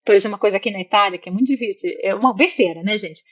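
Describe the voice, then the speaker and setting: A woman with a Brazilian accent talks as a guest in a casual interview, at a middling pitch. She is expressive and reflective, often seeking agreement as she explains. The recording is slightly dull and lo-fi. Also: rapid-fire. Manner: expressive, acts out imagined remarks, seeks agreement with listeners. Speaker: interview guest, psychologist living in Italy.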